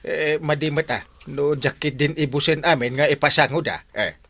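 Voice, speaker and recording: An actor playing an excitable village captain in a comedy radio drama, starting lines with "eh" and bursting into squeals and whoops. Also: medium pitch, moderate pace, noisy background, natural voice. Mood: whiny, amused.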